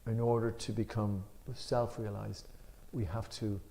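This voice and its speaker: A man leading a scripture class in a temple, reading commentary aloud with sudden loud stresses and then explaining in a relaxed, chatty way. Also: on the low side, a little slow, gravelly. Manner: reads with sudden loud emphasis on key words. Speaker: Gita class speaker.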